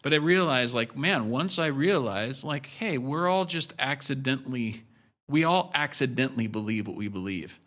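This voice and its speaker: Blunt man with a bright, forceful voice. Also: medium pitch, animated, moderate pace. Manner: blunt and profane, speaks in bursts with restarts. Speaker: podcast guest. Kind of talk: candid podcast conversation.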